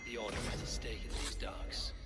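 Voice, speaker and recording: A man playing a stealthy assassin in a video game, thinking aloud in a hushed, deliberate way. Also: on the low side, fairly even intonation, over loud music, close to an everyday voice. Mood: hushed, calculating. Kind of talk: video game character monologue.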